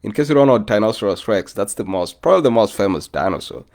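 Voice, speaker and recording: A Kenyan man with a low, deep voice that swings widely up and down as he talks. He rambles in a loose, friendly way and keeps calling his listeners "bro". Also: expressive, fast, darkish tone. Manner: loose and chatty, often says "bro", greets live commenters. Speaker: vlogger. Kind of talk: casual rambling chat to viewers.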